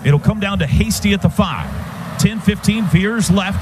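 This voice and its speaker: An American man calling football play-by-play live on radio in clipped running phrases that build to shouts on big plays. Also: high voice, normal speed. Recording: noisy stadium radio broadcast.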